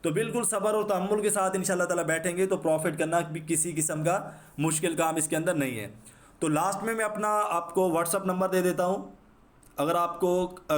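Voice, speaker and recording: A high-pitched man with a Pakistani accent talks through an unscripted tutorial. He explains step by step, repeating himself and dropping in English terms, with a slightly sore throat. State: sore throat, clearing it now and then. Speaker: trading instructor. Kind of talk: unscripted screen-share trading tutorial.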